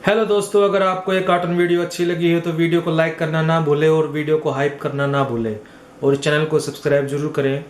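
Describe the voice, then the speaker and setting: A man's voice: a little high, little pitch movement, brisk. A man presenting a cartoon channel with a scripted appeal to viewers. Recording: echoey room, faint noise.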